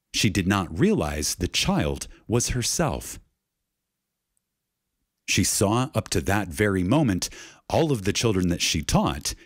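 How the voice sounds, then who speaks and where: Slow, solemn man with a deep, husky voice. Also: no echo, studio quality. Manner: solemn, emphatic, with deliberate pauses. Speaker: reader of a spiritual lecture. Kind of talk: reverent, dramatic reading of a metaphysical lecture.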